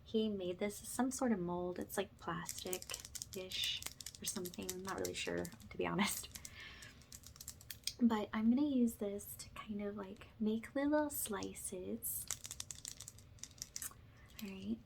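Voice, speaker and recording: A soft-spoken woman who often drops into a whisper as she gently guides an ASMR spiritual cleansing. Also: medium pitch, takes it slowly, vocal fry, long pauses, slight background noise. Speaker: ASMR energy healer, host.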